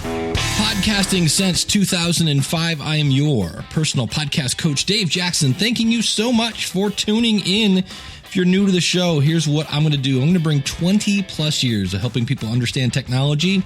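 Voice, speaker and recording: A man's voice: fairly high pitch, animated, normal speed, a bit bright, soft background music. A man hosting a podcast as a coach, talking informally and directly to his listeners in a conversational monologue.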